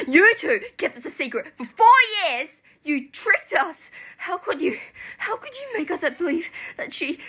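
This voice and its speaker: A woman with an Australian accent voicing an outraged, hot-tempered teenage boy, shouting accusingly in a nasal voice for an amateur drama. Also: high-pitched, pitched above the performer's own voice. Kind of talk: amateur fan voice acting. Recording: noticeable background noise.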